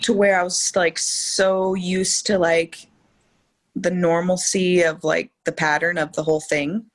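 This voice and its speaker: Woman guest, lowish voice, says like a lot, chatty. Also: flat delivery, measured pace. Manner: chatty, heavy use of "like". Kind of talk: casual chat.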